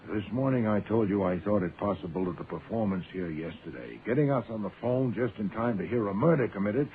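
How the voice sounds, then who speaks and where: A man's voice: lowish voice, brisk, lightly acted. Man acting imperious, pompous detective, tinny old radio sound. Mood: haughty, explaining. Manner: pompous, precise, formal diction. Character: imperious, proud, sedentary genius detective, large man. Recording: muffled, noisy phone-like old radio sound.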